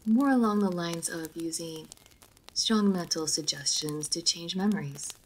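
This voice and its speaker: A woman voicing a formal, guarded, melancholy aristocratic vampire in a roleplay audio drama, speaking in measured phrases to the listener. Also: lowish voice, a little mellow, slight background noise, natural voice, low-quality recording. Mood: reserved, resigned.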